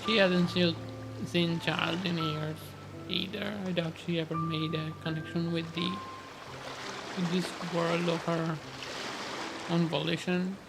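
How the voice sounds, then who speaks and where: A man's voice: a little high, little pitch movement, a little slow, creaky, pauses often. A man giving casual gameplay commentary, reacting in a musing, hesitant way. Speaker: game streamer. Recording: game music behind voice. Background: game music.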